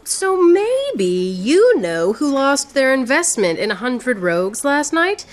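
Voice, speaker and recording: A woman playing a proud, witty, sharp-tongued swordswoman poet in theatrical radio drama. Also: high voice, sing-song, takes it slowly, heavily acted. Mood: proud, defiant. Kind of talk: radio theatre acting in rhymed verse.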